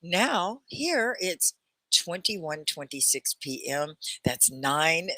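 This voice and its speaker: Cheerful, lively woman teacher greeting viewers. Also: sits low, slow pace, no room sound. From United States. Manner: cheerful, greets viewers by name, laughs often. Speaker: English teacher and livestream host. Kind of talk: livestream class chat. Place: home studio near Arkansas–Oklahoma border.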